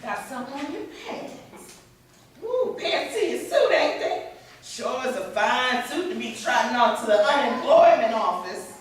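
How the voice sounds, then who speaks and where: A woman's voice: a little high, very animated, normal speed. A woman playing a mocking American aunt who needles her nephew in a folksy, sarcastic way at a staged play reading. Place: staged reading in a reverberant room. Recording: echoey room, distant mic, noisy.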